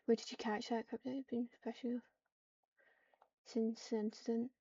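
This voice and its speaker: A woman's voice: fairly high pitch, little pitch movement, normal speed, dark tone. A woman casually voicing online game roleplay, reading out narration and dialogue lines. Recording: dull mic, distant, clear background noise.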